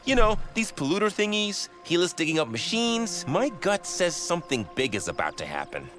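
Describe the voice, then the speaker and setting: Young hero, sincere and encouraging, lighter high-set voice, wildly expressive, game cutscene over music. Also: male voice, moderate pace. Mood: heroic, sincere. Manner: earnest, encouraging. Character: eager, sincere, supportive young male hero and comic-book fan. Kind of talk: animated video game cutscene acting. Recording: music underneath the voice.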